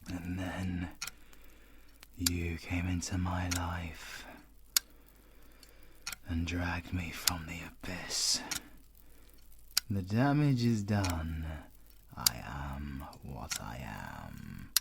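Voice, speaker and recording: Through heavy background noise comes a man acting a brooding British werewolf villain in an audio roleplay. His deep voice is gravelly and halting. He sounds like a grieving, devoted lover pouring his feelings out. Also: lively intonation, full character voice. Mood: tender, brooding. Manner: slow, halting, emotional delivery.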